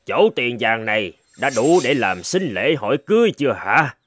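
A man voicing a lecherous, pompous rich village chief in an animated folk tale. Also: mid-range voice, moderate pace, soft background music. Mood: lustful, boastful.

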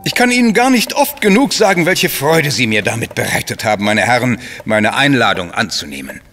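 Pompous, medium-pitched crime novelist. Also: male voice, big pitch swings, fairly quick, fairly bright tone, faint music behind. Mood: effusive, grandiose. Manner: pompous, florid, eloquent. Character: suave, self-important male crime novelist, effusively polite. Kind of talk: German radio drama acting.

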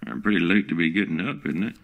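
A man's voice: low-pitched, fast talker, creaky, fairly bright tone, full character voice. A man playing a drawling country smart speaker. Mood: folksy. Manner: drawling, folksy, calls people partner. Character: folksy male smart-home assistant with a country drawl. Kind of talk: comedic radio play acting.

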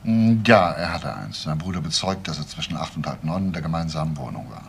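A man playing a calm, measured police inspector in a German crime radio drama, speaking tersely and deliberately. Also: extremely low pitch, vocal fry, a little hiss or hum, full character voice. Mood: calm, probing. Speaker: police detective.

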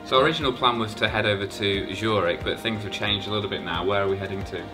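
Quick, low-voiced young man. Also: over music. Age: young adult. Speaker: vlogger and pilot. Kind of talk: casual vlog chat and radio calls.

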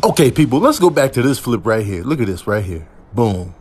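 A male comedian doing mock commentary over clips in a theatrical, mock-envious way with drawn-out words. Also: mid-range voice, big pitch swings, a little mellow, slightly rough. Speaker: comedian doing voiceover reactions.

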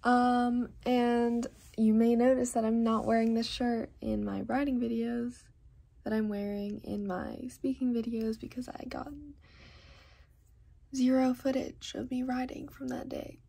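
A woman's voice: a little high, creaky, warm tone, husky. A teenage girl chatting casually in her vlog with plenty of fillers, filmed on a phone held close. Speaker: vlogger. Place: cold indoor riding barn, then bedroom.

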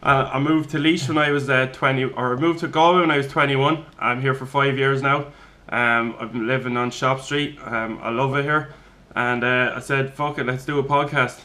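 A young Irish man in his twenties with a bright, forward voice, telling his story in a loose, relaxed way full of fillers and little laughs. He sits in a flat's kitchen and is picked up by a single room mic. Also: mid-range voice, normal speed, hardly any pauses. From Ireland. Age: 20s. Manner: easygoing, chuckles often, loose with fillers. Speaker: podcast host. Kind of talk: casual chat, telling his own story. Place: kitchen of a flat, indoors.

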